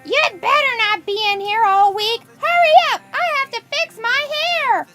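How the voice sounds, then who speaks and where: A woman's voice: a little slow, over loud music. A woman voicing an impatient, bossy older sister in a storybook reading. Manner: shrill, exasperated.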